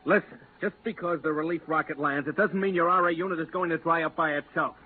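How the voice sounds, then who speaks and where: An actor playing a brusque, excitable space crewman in a 1950s radio drama. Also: a little high, quick pace, a little hiss or hum, lightly acted. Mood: irritable. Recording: narrow-band 1950s radio recording.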